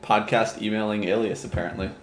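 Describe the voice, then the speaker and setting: A lower-pitched man hosts a relaxed chat, telling a wandering story with easy laughter. The recording is echoey, as if in a bare room. A faint hum sits behind him. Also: fast. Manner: rambling storyteller, laughs easily. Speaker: podcast host. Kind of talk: casual podcast chat.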